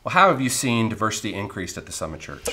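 A man's voice: fairly low pitch, very animated, fast, pushed and bright. A man in his forties interviewing a pastor, asking brief questions and affirming answers in a small studio. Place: small studio room with grey backdrop.

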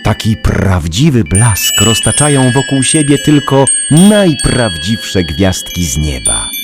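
A man narrating a children's story in a dramatic, measured storytelling manner, with music under the voice. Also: on the low side, sing-song, full character voice.

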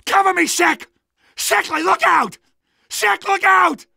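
A man playing an excitable, blunt, wisecracking resistance fighter in a video game. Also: very high voice, slow, vocal fry, dry recording, studio quality, full character voice. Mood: frantic shouting. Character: jumpy, wisecracking male resistance fighter defending a tunnel. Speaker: video game minor character.

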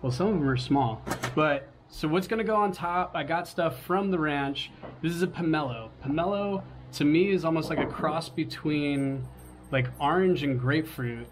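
A man's voice: fairly high pitch, moderate pace, noisy background. A man in his forties hosting a casual cooking vlog on an outdoor porch, upbeat and laughing often. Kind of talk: casual chat.

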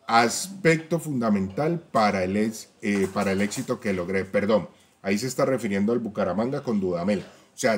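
Man chatting football stats. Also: medium pitch. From Colombia. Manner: talks to viewers directly, tag questions, stats-heavy. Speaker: football channel host. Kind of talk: casual fan-channel commentary.

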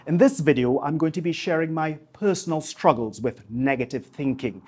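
Husky man, polished presenter. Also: fairly high pitch, normal speed, a little mellow. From Trinidad and Tobago. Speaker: YouTube host, marketing coach. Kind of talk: scripted piece to camera. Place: small home office, indoors. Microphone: lapel mic.

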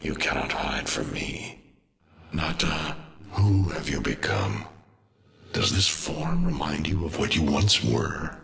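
An actor playing an ancient, mournful alien destroyer with a brooding, ominous delivery over music. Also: male voice, very deep voice, huge pitch swings, slow pace, creaky, fairly bright tone, echoey room, exaggerated voice. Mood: betrayed, searching.

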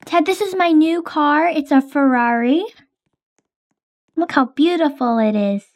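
A woman's voice: high-pitched, a little slow. A young woman hosting and narrating a game roleplay in a casual, hesitant way with many ums.

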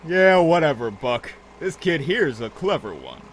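A man acts out a good-humored male drug dealer in an audio drama. He is talkative and folksy, with a lightly high, very lively voice and a teasing way. Some vehicle noise sits behind him. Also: measured pace, low-quality recording.

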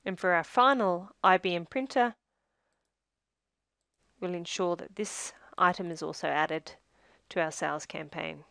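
A woman with a slightly low voice narrates a software demonstration in a measured, instructional way. Her phrases tend to trail down at the ends. The recording is dry and close. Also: creaky, dry recording. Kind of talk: scripted tutorial narration.

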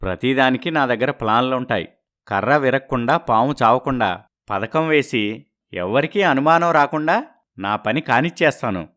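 A man's voice: medium pitch, animated, moderate pace, a bit bright, lightly acted. A man playing a scheming, deceitful young husband in a dramatised story, gloating and calculating. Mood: smug, gloating.

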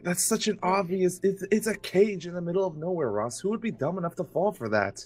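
A man's voice: high voice, lively intonation, a little fast, soft background music. A man playing an exasperated, brainy roommate in an improvised Minecraft roleplay, protesting and stammering pedantically. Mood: suspicious.

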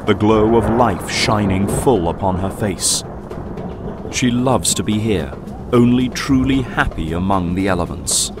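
A man narrating an audio drama from a script in a solemn, dramatic storytelling manner. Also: on the low side. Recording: loud music and effects behind.

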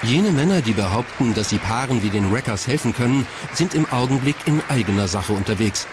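Guy doing documentary voiceover, medium pitch, noticeable background noise. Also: lively intonation, brisk. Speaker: documentary narrator. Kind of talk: scripted documentary narration.

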